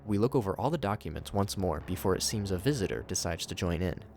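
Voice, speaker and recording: Low-pitched male narrator over music. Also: animated, quick pace, darkish tone. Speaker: YouTube narrator reviewing horror series. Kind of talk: scripted narration commentary.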